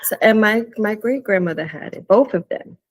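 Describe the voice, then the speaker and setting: A woman's voice: mid-range voice, expressive, normal speed. A woman guest on a podcast, talking openly and reflectively in a casual chat. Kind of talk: casual conversation.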